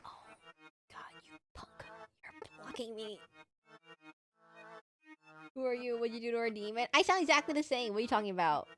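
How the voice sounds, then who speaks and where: A woman's voice: on the high side, moderate pace, long pauses, pushed and bright. A woman with a slightly nasal voice chatting casually and self-deprecatingly as she rambles through a live game stream. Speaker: streamer playing a video game. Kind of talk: casual live-stream chat while gaming. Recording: music playing behind the voice.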